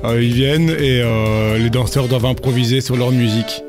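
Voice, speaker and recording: A man's voice: medium pitch, steady tone, normal speed. Chatty male radio guest. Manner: rambling, laughing, many restarts. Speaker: festival organizer guest. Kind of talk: casual radio chat. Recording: radio studio with music underneath.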